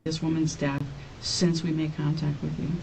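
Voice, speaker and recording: A woman's voice: low-pitched, flat delivery, normal speed, gravelly, a little mellow. A woman working as a police detective, confronting a suspect in a stern, accusatory, repetitive way, recorded from a distance in an interview room with noise.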